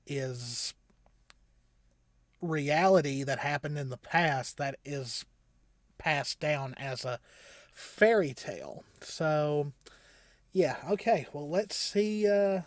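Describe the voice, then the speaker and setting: A man hosting a reaction show with casual commentary, often halting and thinking aloud as he goes. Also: on the high side, dry recording, studio quality.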